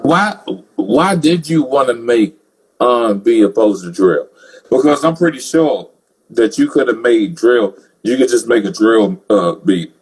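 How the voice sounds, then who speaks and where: A man's voice: mid-range voice, expressive, a little slow, slightly rough, poor audio. A man hosting a casual interview who jokes and often repeats words as he starts his questions. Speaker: interviewer host.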